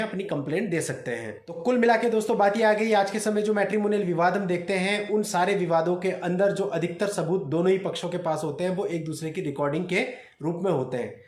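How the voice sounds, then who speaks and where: A man giving an explanatory legal talk in a didactic way, often addressing his listeners directly as an advocate presenting to his audience. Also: high-pitched. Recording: echoey, roomy sound.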